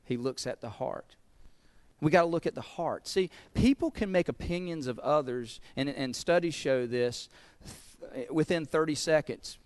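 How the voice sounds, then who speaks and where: A man's voice: on the high side, expressive, moderate pace, darkish tone, dry recording. A man with a Southern US accent preaching a sermon, earnest, often repeating words as he builds a point. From American South. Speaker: pastor.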